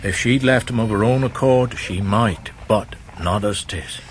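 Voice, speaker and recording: Actor as a slow old fisherman. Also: fairly low pitch, a little hiss or hum, lightly acted. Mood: earnest grief. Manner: slow, earnest, rustic dialect. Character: humble, devoted older fisherman, earnest and plain-spoken. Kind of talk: period radio drama acting.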